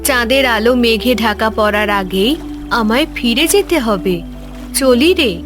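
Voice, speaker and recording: A woman voices a magical water maiden from a fairy-tale cartoon. Her fairly high voice is tender, solemn and motherly, with music clearly behind it. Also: a little slow, lightly acted. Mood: gently solemn. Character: gentle, magical glowing maiden risen from water.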